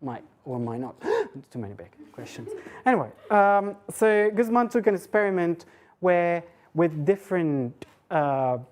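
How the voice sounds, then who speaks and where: A woman's voice: on the low side, very animated, unhurried, a little mellow. A woman giving an informal, conversational academic lecture, thinking aloud with hesitations and rhetorical questions.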